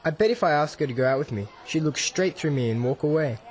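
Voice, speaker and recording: A nervous, lovestruck teenage boy played by a man in a radio drama for English learners. His voice sits fairly high and swings animatedly as he asks anxious, eager questions. There is faint noise and ambience behind him. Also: expressive, fast, natural voice, low-quality recording. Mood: anxious, doubtful.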